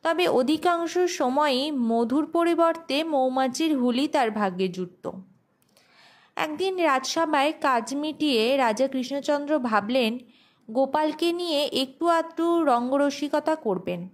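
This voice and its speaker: A woman's voice: high-pitched, lively intonation, a little slow. A woman narrating a scripted audio story as the host.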